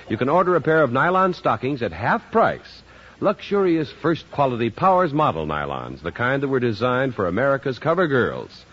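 A male announcer reading a 1950s radio commercial in a smooth, persuasive, polished delivery. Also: mid-range voice. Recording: dull 1950s radio recording.

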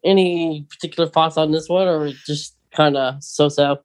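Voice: medium pitch, steady tone, normal speed. A podcast co-host in casual chat, talking hesitantly with many fillers and restarts while steering the conversation with questions.

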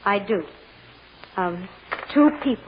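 A woman's voice: mid-range voice, animated, slow. A woman playing a plain-spoken, fussy chambermaid giving hesitant, literal-minded testimony in 1940s radio drama style. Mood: earnest, hesitant. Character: plain-spoken, fussy working-class chambermaid on the witness stand. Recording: dull, noisy old-time radio recording.

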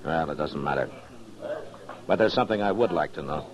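An American actor playing a terse, authoritative frontier marshal in a 1950s radio western, on a muffled vintage recording. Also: male voice, rumbling low, animated, a little fast, a crowd in the background. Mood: reproving. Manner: terse, plain-spoken, authoritative. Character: stern, laconic frontier marshal, grown man. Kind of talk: 1950s radio drama acting.

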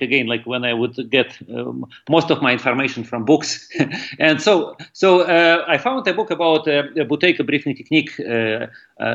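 A middle-aged man, a breathing teacher, answering interview questions in a halting way as he searches for words. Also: fairly high pitch, fairly bright tone, dull audio.

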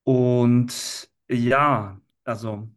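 Slow-paced, hesitant Italian-accented man. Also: medium pitch, fairly even intonation. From Italy. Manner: hesitant, searching for words. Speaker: language teacher, interview guest. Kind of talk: casual podcast conversation.

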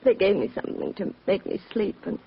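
An actress playing a sedated, distraught young widow, tearful and groggy, in an old radio drama. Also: female voice, mid-range voice, big pitch swings, a little fast, creaky. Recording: muffled, faintly hissy old radio broadcast.

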